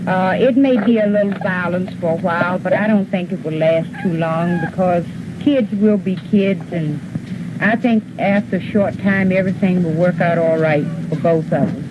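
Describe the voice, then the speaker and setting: A woman's voice: fairly low pitch, moderate pace. Mother interviewed on dull, noisy newsfilm. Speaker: parent interviewee. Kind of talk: halting interview answers. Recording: dull old newsfilm, clear noise.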